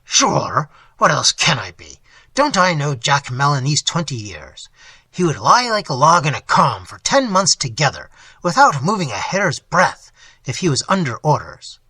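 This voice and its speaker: Gruff hearty Dutch tavern keeper, guttural and blunt, read by a man. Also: fairly high pitch, sing-song, moderate pace, sharp tone, dry recording, full character voice. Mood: blustering. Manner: boisterous, blunt. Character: gruff bloated old Dutch innkeeper, hearty.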